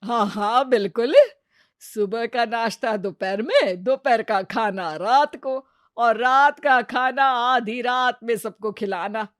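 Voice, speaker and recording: A sharp-tongued older mother-in-law in a cartoon, full of sarcastic grumbles. Her voice sits fairly high and sounds dry and close. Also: slow, dry recording. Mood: grumbling.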